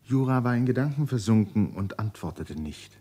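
A man narrating a literary radio play in a measured, scripted storytelling manner. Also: mid-range voice, animated, dark tone.

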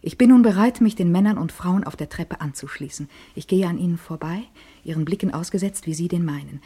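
An actress playing a poised, vain young society woman in a radio play, speaking in an intimate, deliberate, breathy monologue with sensual expectancy. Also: lowish voice, mellow. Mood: sensual anticipation.

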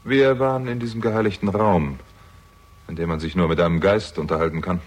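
A sharp-witted young sleuth in a radio thriller. His voice is low and husky, and he probes with dry irony and cool sarcasm. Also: steady tone, a little fast. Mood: accusing, controlled. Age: young adult. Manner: dry, ironic, probing. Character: sardonic younger man, shrewd amateur investigator. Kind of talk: German radio crime drama acting.

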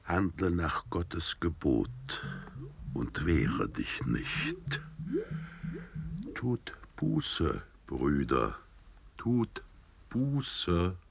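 A solemn preacher of penance in a radio play. The voice is extremely deep and full of gravelly creak. The words drag out very slowly, husky and heavy. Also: male voice, sing-song, exaggerated voice. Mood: solemn, droning. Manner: very slow, drawn-out. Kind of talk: radio drama acting.

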